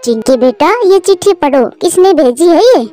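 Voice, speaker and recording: A woman voicing a gentle, hardworking mother bird who speaks patiently in a children's cartoon. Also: high-pitched, animated, normal speed, faint music behind. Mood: earnest. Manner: patient, instructive. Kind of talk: Hindi children's cartoon voice acting.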